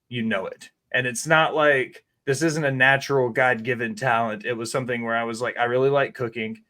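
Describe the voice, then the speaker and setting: A man's voice: mid-range voice, bright, forceful tone. Candid man telling stories. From Tennessee. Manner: candid, rambling storyteller who swears freely. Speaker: guest, chef. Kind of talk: casual interview talk.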